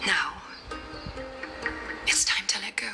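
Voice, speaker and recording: A woman voicing a weary, solemn ancient demigod in a video game, soft, breathy and reflective, over music. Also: takes it slowly, natural voice. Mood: solemn, resigned. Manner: reflective, poetic, gentle. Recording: music under the voice. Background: game soundtrack music.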